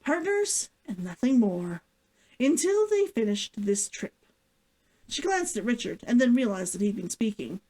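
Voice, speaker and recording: A woman's voice: a little high, big pitch swings, a little slow, warm tone, rough recording. A woman narrating a fiction story aloud as the author hosting a read-along.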